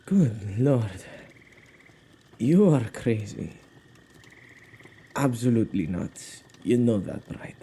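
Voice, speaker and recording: A man acting an anxious, protective witch who guards spirits, in an intimate, expressive ASMR audio roleplay with a halting conversational delivery. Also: fairly low pitch, breathy edge, heavily acted. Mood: amused amazement. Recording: faint background noise.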